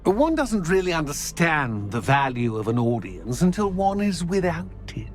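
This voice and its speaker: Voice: medium pitch, sing-song, moderate pace, soft background music, close to an everyday voice. An actor voicing a mischievous, theatrical poltergeist in a video game, with a pompous, drawling delivery. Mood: gleeful, scheming. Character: mischievous, theatrical poltergeist fond of causing chaos. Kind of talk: video game character acting.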